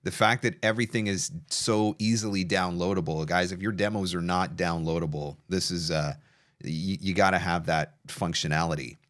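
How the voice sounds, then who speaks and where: A man with a fairly low voice hosts an online session. He talks casually, putting questions to a guest and adding his own practical commentary. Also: fairly even intonation, moderate pace, fairly bright tone. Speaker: session host and voice-over coach. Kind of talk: casual interview chat with commentary.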